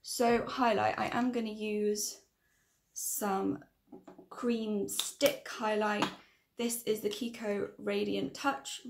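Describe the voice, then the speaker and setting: A woman in her thirties with a croaky, tiring voice, chatting and correcting herself as she presents a casual tutorial to camera. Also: mid-range voice, steady tone, takes it slowly, echoey room. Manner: chatty, rambling, self-correcting. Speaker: makeup tutorial vlogger. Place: small room indoors.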